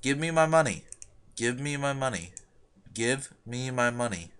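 A man's voice: medium pitch, expressive, unhurried, a bit bright, clean tone. A young man arguing on an internet voice call, aggressive and profane, demanding the same thing over and over. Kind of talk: heated argument on an internet voice call.

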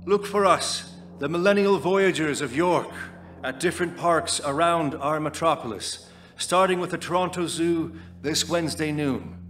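An actor playing a grave, oratorical doomsday cult leader in an audio drama monologue. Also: male voice, on the high side, moderate pace, a bit bright, slight background noise, natural voice. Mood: prophetic, urging. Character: solemn doomsday cult leader preaching end times.

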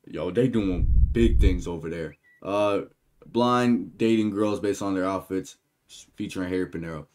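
A man's voice: deep voice, moderate pace, a little mellow, slight background noise. A young American man streaming from home on a headset mic, rambling and joking loosely with his chat while he reacts to a video. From United States. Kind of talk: casual live-stream commentary.

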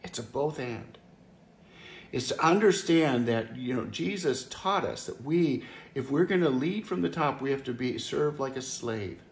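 A man's voice: mid-range voice, lively intonation, measured pace. A man in his fifties, a pastor giving an earnest, conversational home devotional from his living room, often restarting his phrases. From Wisconsin, US. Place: living room by a fireplace, indoors. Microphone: camera or phone close up, seated. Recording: echoey room, faint background hiss.

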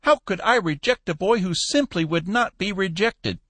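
A man putting on a shrill, crusty old businessman. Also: expressive, normal speed, fairly bright tone, no echo. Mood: scolding, cajoling. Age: older. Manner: high-pitched, chirping, bossy and wheedling. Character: shrill, feisty old businessman, crusty but soft-hearted.

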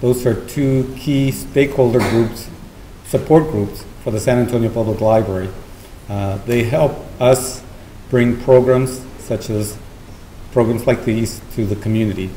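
Middle aged guy doing welcome speech at podium, soft mellow tone, echoey hall w faint noise. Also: medium pitch, fairly even intonation, a little slow. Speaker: library official giving welcome remarks at a podium. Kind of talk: formal public speech with thanks and introductions. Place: large meeting hall with seated audience, indoors. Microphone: podium gooseneck microphone. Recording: roomy auditorium sound, faint noise.

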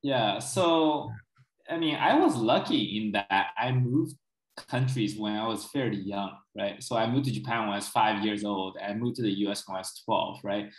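A man's voice: lowish voice, normal speed, reverberant, rough recording. A man in his thirties, a native Chinese speaker, explaining at length in a casual interview over a video call from a small room, often adding "right?" and "you know". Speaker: guest expert. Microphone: video call, laptop camera.

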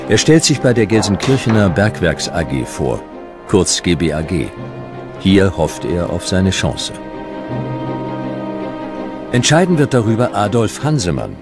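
A man with a very low voice narrates a historical documentary from a script. His intonation moves widely, giving the measured delivery a dramatic storytelling feel. Music plays behind him.

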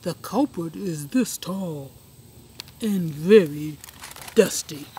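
A woman voicing a suspicious, deliberate police sergeant chalk, pondering and emphatic, for a storybook. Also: lowish voice, dark tone, a little hiss or hum.

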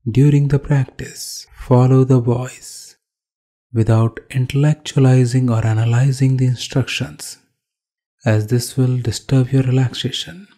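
A man with an Indian accent leading a guided meditation as a yoga instructor, calmly giving short instructions with pauses between phrases. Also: medium pitch.

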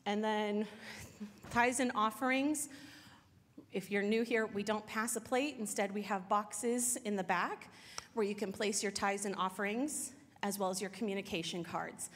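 A woman's voice: medium pitch, steady tone, normal speed. Woman giving church announcements in a hall. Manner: friendly, welcoming, reading from notes. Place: church sanctuary stage. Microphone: handheld microphone, far camera. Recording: reverberant hall.

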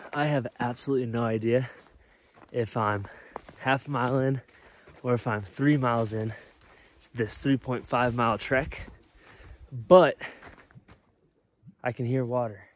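A man's voice: medium pitch, slight background noise. A young man in his twenties chatting upbeat to a phone camera while hiking a snowy mountain trail, a little out of breath. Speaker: vlogger. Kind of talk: casual hiking vlog to camera. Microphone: phone held at arm's length.

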